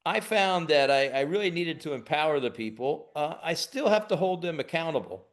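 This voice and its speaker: A man's voice: fairly high pitch, normal speed. Conversational American man. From United States. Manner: relaxed, conversational, restarts words often. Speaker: guest leadership expert. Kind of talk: webinar interview.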